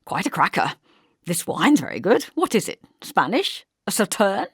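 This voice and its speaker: A woman's voice: medium pitch, very animated, moderate pace, breathy edge, dry recording, clean studio sound, voice pushed up, exaggerated voice. A woman voicing a distracted, amiable middle-aged man in an audiobook, rambling mildly and agreeably. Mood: appreciative. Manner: rambling, mild, preoccupied.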